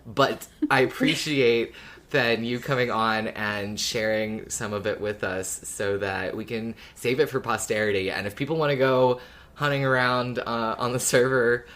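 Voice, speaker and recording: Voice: mid-range voice, moderate pace, fairly bright tone. A podcast co-host chatting casually in an informal, wry way. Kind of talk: casual chat.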